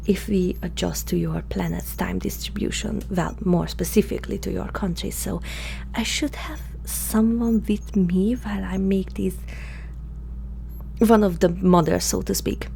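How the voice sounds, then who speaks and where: A woman playing a curious, awkward alien scientist in human form, speaking hesitantly and softly to the listener in an ASMR roleplay. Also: mid-range voice, gravelly. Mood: hushed, excited. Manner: hesitant, halting, softly spoken, sometimes whispering. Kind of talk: ASMR roleplay, one-sided dialogue to the listener. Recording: faint background hum and noise.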